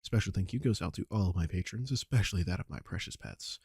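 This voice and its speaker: A man gives a casual creator's sign-off in a very low voice. It is dark and mellow in tone, with a gravelly, husky grain as he thanks his listeners. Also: lively intonation, fast talker, dry recording, studio quality. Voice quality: deep, gravelly. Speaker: audio roleplay creator.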